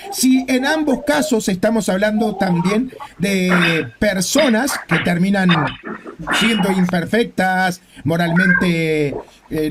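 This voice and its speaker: A man's voice: high-pitched, noisy background. A man with a Rioplatense Spanish accent hosting a religious debate, arguing his points with rhetorical questions. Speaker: debate host.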